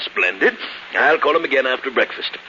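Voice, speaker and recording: An actor playing a genial, teasing old uncle in a 1940s radio drama, hearty and avuncular. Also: male voice, mid-range voice, lively intonation, moderate pace, pushed and bright. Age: older. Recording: muffled, hissy 1940s radio recording.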